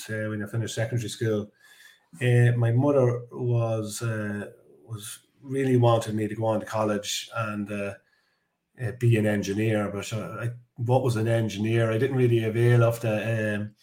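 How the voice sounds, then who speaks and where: A man with an Irish accent, in his forties, talks through his working life in a loose, rambling way, full of ums and restarts. His voice sits low and stays flat, with little rise or fall. The recording has a noticeable roomy echo around him. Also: moderate pace. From Ireland. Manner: chatty, rambling, with many fillers and restarts. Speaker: guest, construction business director. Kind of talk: casual podcast interview. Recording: echoey room.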